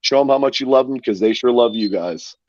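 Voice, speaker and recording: A man's voice: mid-range voice, a little fast, dry recording. Male co-host, dead-dry dull sound, hype-man energy. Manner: rallying, hype-up encouragement. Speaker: podcast co-host. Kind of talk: casual fan podcast chat.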